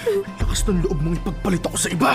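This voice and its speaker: Domineering Filipino husband over music. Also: male voice, high-pitched, wildly swooping pitch, fast, exaggerated voice. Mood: angry, accusing. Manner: coaxing murmurs turning to aggressive demands. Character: domineering, jealous husband, lustful then violently angry. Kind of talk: Filipino radio drama acting.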